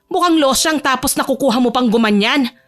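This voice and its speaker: A woman's voice: high voice, fairly quick, a bit bright. A woman voicing a harsh middle-aged female supervisor in an audio drama, nagging and belittling with sarcastic scorn. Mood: scornful, scolding.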